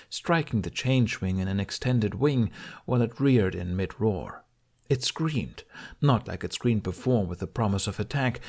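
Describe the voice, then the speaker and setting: A man's voice: lowish voice, darkish tone. A man reading an audiobook of fantasy fiction as a dramatic, intense narrator.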